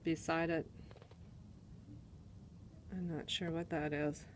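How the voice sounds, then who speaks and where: Low, slow-talking Canadian woman. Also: little pitch movement, a little hiss or hum. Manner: chatty, listing items and prices. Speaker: shopper filming an antique mall booth. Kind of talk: casual walk-through narration. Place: indoor antique mall. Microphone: handheld phone camera.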